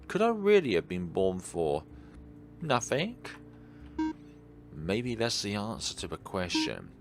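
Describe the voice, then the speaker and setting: A man's voice: sits low, gravelly, full character voice. A man reading visual novel dialogue as a melancholy, world-weary God, in a wistful, dramatic way. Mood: brooding.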